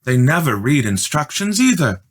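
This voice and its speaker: A man's voice: a little high, sing-song, moderate pace, breathy edge, pitched above the performer's own voice. A man voicing a chatty, sighing woman guest in a children's storybook. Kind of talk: children's storybook read-aloud.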